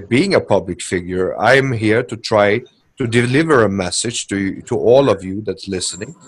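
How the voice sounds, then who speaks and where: A man speaking as a guest to listeners, earnest and hesitant, with many fillers. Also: on the low side. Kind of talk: casual conversation to listeners.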